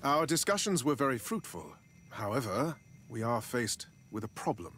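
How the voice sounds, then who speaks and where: A man's voice: mid-range voice, sing-song, normal speed, a bit bright, a little hiss or hum. A man playing a polite, cautious official in a video game, speaking in a formal, tactful, polished way. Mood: cautious, grave. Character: polite, cautious male colonial official, diplomatic negotiator. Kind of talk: scripted video game cutscene dialogue.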